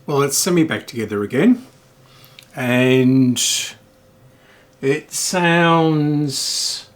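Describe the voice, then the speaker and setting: Man, middle-aged, slow unhurried pace, mid pitch, hesitant, thinking aloud, casual hobbyist repair commentary. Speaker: electronics repair hobbyist and video host.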